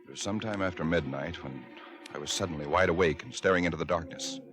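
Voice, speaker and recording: An actor playing a frightened, earnest husband who recounts eerie events in 1940s radio suspense style. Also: male voice, low-pitched, quick pace, natural voice. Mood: grave, uneasy. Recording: vintage radio drama with music behind.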